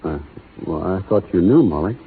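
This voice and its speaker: Low, husky laconic cowboy. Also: male voice, animated, normal speed, full character voice. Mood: grave, firm. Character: laconic, steadfast cowboy hero. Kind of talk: 1940s radio western acting. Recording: muffled old radio broadcast.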